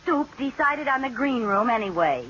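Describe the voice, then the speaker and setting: An actress playing a scatterbrained, indecisive, playful wife in old-time radio comedy, bubbly and quick to argue back. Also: female voice, a little high, lively intonation, lightly acted. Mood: defensive, flighty. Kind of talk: 1940s radio comedy acting. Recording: dull, noisy old radio recording.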